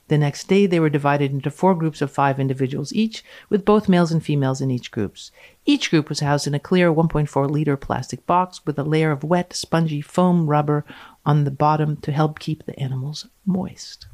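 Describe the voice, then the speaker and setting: A woman reading a scientific paper aloud in a measured, precise way with dry amusement, as a podcast guest. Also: deep voice, a little mellow.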